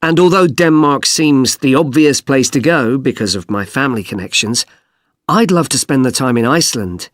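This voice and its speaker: Actor as undergraduate: young man, medium pitch, polite and earnest, very dry studio-style exam dialogue. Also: lively intonation, moderate pace, no room sound, lightly acted. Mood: polite, keen. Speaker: student. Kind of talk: scripted listening-test dialogue.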